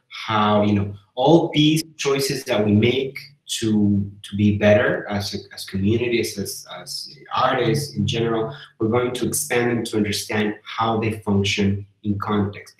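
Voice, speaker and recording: A man with a fairly low voice leads a video-call seminar, thinking aloud with many "uh"s and restarts. His voice rings in an echoey room. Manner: thoughtful, hesitant with fillers. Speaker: residency program host. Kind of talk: online seminar discussion.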